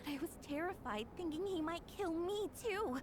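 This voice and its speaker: High-voiced, nervous young townswoman. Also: expressive, normal speed, background noise. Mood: frightened. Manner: hesitant, earnest. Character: frightened young townswoman giving witness testimony.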